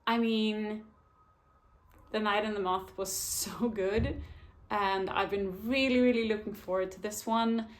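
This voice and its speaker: A woman's voice: mid-range voice, steady tone, a little slow. A woman chatting casually to camera about her reading year, often hesitating and restarting her sentences. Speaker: book vlogger. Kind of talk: casual book talk to camera.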